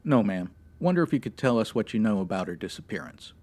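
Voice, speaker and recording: A man playing a stern 1950s police detective with a flat, clipped monotone delivery, in a comedy radio play. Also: fairly low pitch, fast talker, slight background noise, natural voice. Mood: deadpan.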